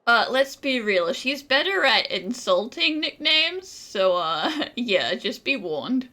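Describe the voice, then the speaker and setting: A woman's voice: a little high, sing-song, slow, pushed and bright. A woman giving casual, teasing reaction commentary as she plays a video game. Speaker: gaming video host.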